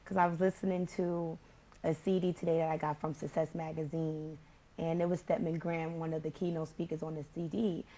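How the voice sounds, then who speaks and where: A woman hosting a talk show, welcoming her guests and asking them about their backgrounds in a relaxed, conversational way. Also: low voice, fairly quick, poor audio.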